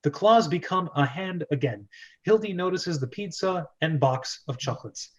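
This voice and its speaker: A man's voice: a little high, expressive, moderate pace. A man hosting a casual amateur screenplay read, narrating stage directions and breaking off into laughing asides. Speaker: host and narrator of a script read-through.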